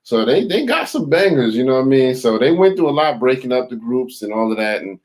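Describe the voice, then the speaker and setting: A man co-hosting a hip hop podcast, talking in a relaxed, agreeable way with lots of fillers. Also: medium pitch, brisk. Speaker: podcast co-host. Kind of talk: casual chat.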